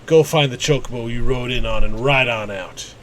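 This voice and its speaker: A man's voice: medium pitch, normal speed, fairly bright tone, slight background noise, close to an everyday voice. A man voicing a surly, insolent rogue in a fantasy game, scornful and dismissive.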